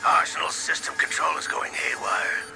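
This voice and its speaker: A man's voice: normal speed, over music, lightly acted. An actor playing a grave male weapons-system operator in a video game, urgent and technical. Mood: alarmed. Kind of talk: video game cutscene acting.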